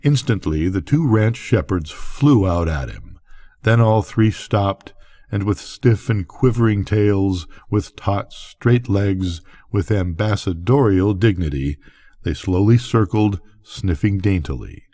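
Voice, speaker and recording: An older man reading a bedtime story aloud as narrator, with expressive, deliberate phrasing. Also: fairly low pitch.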